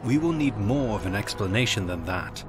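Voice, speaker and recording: Blunt male hero actor, low and lively. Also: normal speed, noisy background, lightly acted. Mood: questioning. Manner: direct, inquiring. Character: blunt, questioning hero of a fantasy quest. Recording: music behind the voice.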